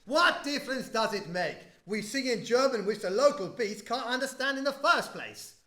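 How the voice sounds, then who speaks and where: A man's voice: very high voice, animated, moderate pace, sharp tone, full character voice. A man playing an exasperated, argumentative opera singer in a radio play. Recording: roomy echo.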